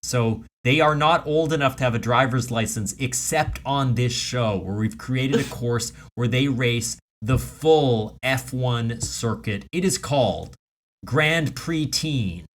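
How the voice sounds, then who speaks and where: Мужчина, ведущий комедийного подкаста. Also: male voice, mid-range voice, takes it slowly. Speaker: comedy podcast host. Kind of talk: casual comedic banter.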